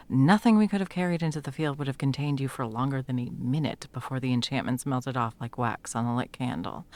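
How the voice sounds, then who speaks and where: A woman playing a sardonic, foul-mouthed sound mage in an intimate fantasy audio roleplay, talking sarcastically and emphatically with a creaky edge. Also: very deep voice. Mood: defensive. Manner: sarcastic, emphatic, swearing freely. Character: sardonic, foul-mouthed female sound mage, guiltily helping an old friend.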